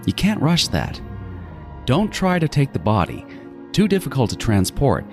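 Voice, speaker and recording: A man's voice: medium pitch, huge pitch swings, over music. A man playing a meticulous, gloating serial killer in a horror audio drama, savoring his words in a theatrical monologue. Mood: gleefully instructive. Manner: theatrical, savoring, deliberate phrasing with sudden swings.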